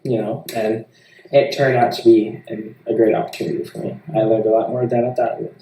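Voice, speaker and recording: A young American man giving informal, somewhat halting answers as a student being interviewed. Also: on the low side, little pitch movement, mellow, slight background noise. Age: young adult. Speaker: interviewee, student filmmaker and musician. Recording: echoey room, distant mic.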